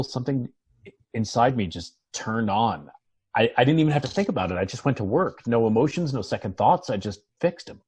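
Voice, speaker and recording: A man reading the part of a troubled, earnest former doctor in a dramatic table read, halting and reflective. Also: mid-range voice, darkish tone, slightly rough, natural voice. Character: troubled, earnest male former doctor seeking a fresh start.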